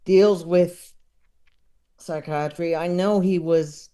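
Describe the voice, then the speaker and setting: Man, high-pitched, relaxed dark timbre, slow pace, halting, casual interview guest. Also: clear, resonant tone. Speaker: interview guest, comedy expert. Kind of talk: casual conversational film discussion.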